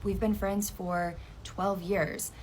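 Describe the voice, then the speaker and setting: A woman's voice: medium pitch, little pitch movement, slow pace, darkish tone, slight background noise. A thirty-nine-year-old woman talking with a friend, reassuring and emphatic. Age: 30s. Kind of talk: casual conversation with a friend.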